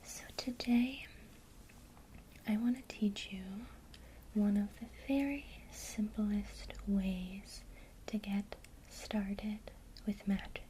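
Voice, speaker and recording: Woman, ASMR guide, unhurried soft whisper, faint crinkle behind. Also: mid-range voice, slight background noise, low-quality recording. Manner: soft unvoiced whisper, slow and calm. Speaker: ASMR creator teaching witchcraft.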